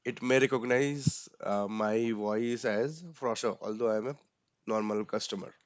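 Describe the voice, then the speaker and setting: A man with an Indian accent walks through software settings step by step. He talks casually, with many fillers and a frequent "right?" at the end of his points. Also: lowish voice, moderate pace, dry recording. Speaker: instructor.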